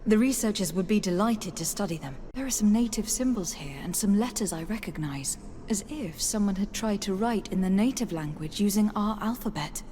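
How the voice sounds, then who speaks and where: A woman's voice: medium pitch, lively intonation, a little fast, mellow, a little hiss or hum, close to an everyday voice. An actress playing a composed, diplomatic noblewoman adventurer in a video game, polite and articulate. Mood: curious, studious. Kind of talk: dramatic video game acting.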